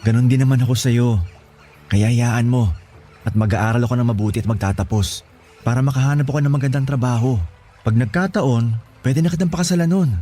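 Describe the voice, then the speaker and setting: A man's voice: mid-range voice, a little fast, dark tone, natural voice. A man playing a devoted young boyfriend in a Filipino radio romance, speaking earnestly and tenderly. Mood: earnest, loving. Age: young adult. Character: devoted young boyfriend leaving to study in the city. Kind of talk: Tagalog radio romance drama acting.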